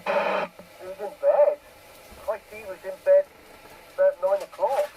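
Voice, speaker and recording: A man's voice: sits high, unhurried. A man chatting casually over CB radio, rambling along and repeating his phrases. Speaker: CB radio operator. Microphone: two-way radio microphone. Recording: CB radio transmission with background noise.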